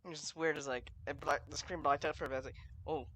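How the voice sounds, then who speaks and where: A woman's voice: low-pitched, fairly even intonation, fast, noisy background. A woman casually commenting on a game as she plays, rambling and thinking aloud. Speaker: gameplay streamer. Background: loud game music.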